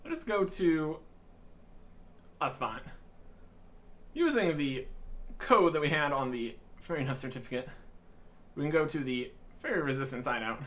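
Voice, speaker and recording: A man's voice: a little high, animated, a little slow, pauses often, fairly bright tone. A man giving casual, rambling gameplay commentary in an understated way. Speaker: video game let's player.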